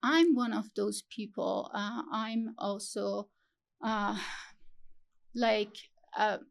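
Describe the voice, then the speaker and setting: A young woman from Iran, talking as an interview guest, halting and searching for her words with many fillers. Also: medium pitch, level tone, creaky. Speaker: guest, PhD student. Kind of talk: interview conversation.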